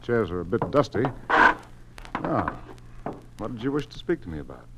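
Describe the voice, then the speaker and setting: A man's voice: on the low side, big pitch swings, moderate pace. A man playing a courteous, principled American small-town museum director in a radio drama, restrained and plain-spoken. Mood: courteous, businesslike. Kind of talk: mid-century radio drama acting. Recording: noisy, crackly old radio recording.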